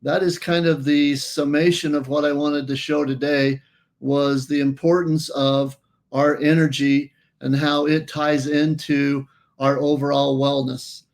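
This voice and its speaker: Man walking through an app, flat delivery. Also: a little high. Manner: explanatory, halting with restarts. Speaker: company presenter. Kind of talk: app walkthrough presentation.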